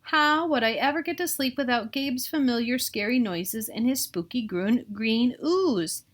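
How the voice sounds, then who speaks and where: Middle-aged American female storybook reader, somewhat high pitch, casual asides. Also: unhurried. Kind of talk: read-aloud picture book narration with casual asides. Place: small room at home.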